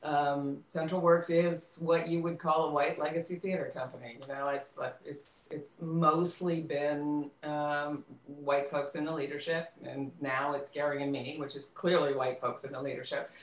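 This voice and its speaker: A woman talking casually as an interview guest, telling things in a conversational way and sometimes halting as she searches for words. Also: low-pitched. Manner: animated storytelling, quoting dialogue, halting when searching for words. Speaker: interview guest, theater company co-director. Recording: roomy, echoey, distant microphone.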